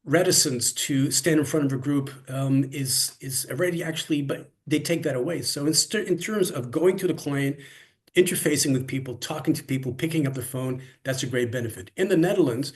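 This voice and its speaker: A man with a somewhat high voice talks through his ideas as an interview guest. He restarts his sentences and repeats words as he thinks aloud. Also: a little fast. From Canada. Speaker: interview guest, cross-cultural coach. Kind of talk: conversational interview.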